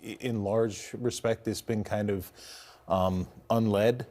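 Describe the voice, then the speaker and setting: A man speaking as a lawyer in an interview, giving measured, hesitant opinions. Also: on the low side, steady tone, warm tone. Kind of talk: interview commentary.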